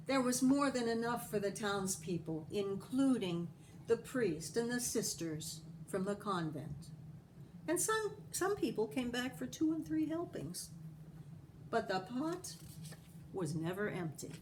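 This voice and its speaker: Slow-paced woman reading a storybook. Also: a little high, noisy background. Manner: animated, acting out the dialogue. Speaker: storybook reader. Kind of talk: expressive read-aloud of a picture book.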